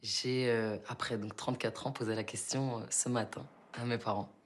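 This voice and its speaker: A woman's voice: a bass voice, steady tone, normal speed. A woman in a documentary clip, candidly recounting a conversation with her parents. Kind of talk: recounting a personal story.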